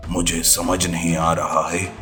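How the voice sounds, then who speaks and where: A man voicing a devoted lion king and father in a children's animal fable, with a grave, measured delivery. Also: deep voice, flat delivery, music in the background. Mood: worried.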